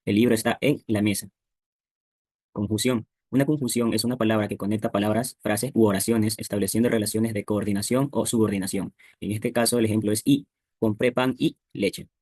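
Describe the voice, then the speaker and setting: A man reads a scripted lesson plainly, definition by definition. His voice is husky and fairly low, with very little melody. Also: steady tone, a little fast, darkish tone, dry recording, clean studio sound. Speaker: presenter of a grammar lesson.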